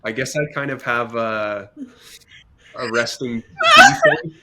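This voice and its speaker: A father chatting in a company roundtable, slow and hesitant with many fillers and a dry sense of humor. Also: mid-range voice, wildly swooping pitch, background noise. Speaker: employee on a company podcast roundtable. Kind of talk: casual chat.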